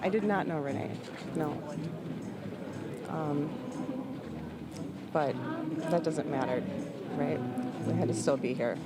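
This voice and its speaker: A man answers in a street interview, earnest and halting. His voice is fairly high and slow, nearly swamped by loud outdoor noise. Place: outdoors at a vigil.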